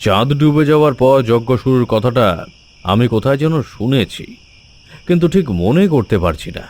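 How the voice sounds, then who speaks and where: A man's voice: mid-range voice, animated, moderate pace, a little mellow, natural voice. A man playing a protective, cautious king and father in a cartoon fairy tale, formal and authoritative. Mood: cautious, concerned.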